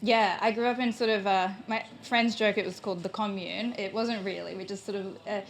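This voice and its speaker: A woman's voice: mid-range voice, fairly even intonation, a little fast. Reflective young woman from New Zealand. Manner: reflective, hesitant, thinking aloud. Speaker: painter, invited artist. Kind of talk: live public conversation. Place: gallery space with an audience. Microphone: handheld microphone.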